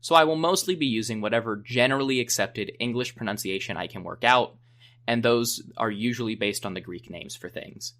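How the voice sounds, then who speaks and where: A young man hosting a history podcast, narrating a script in a measured, explanatory way. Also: on the low side, pushed and bright. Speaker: podcast host.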